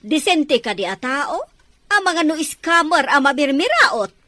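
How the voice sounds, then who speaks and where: Woman acting a haughty, high-voiced rich madam. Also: very animated, measured pace, pushed and bright, heavily acted. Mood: haughty, suspicious. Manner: scornful, imperious, scolding. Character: haughty, hot-tempered rich mother and madam. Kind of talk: Filipino Ilocano radio-style drama acting.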